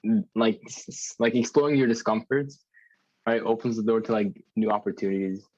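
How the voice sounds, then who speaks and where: A man's voice: fairly low pitch, a little fast, darkish tone, no echo, dull audio. A young man from northern New Jersey co-hosting a podcast chat, reflective and hesitant, with a lot of fillers. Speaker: podcast co-host. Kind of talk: casual chat.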